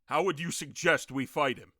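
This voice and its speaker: An actor playing a bitter, curt, challenging baron in a fantasy audiobook. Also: male voice, on the high side, normal speed, sharp tone, slightly rough, dry recording, studio quality. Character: bitter, curt baron abandoning his estate. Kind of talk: audiobook character acting.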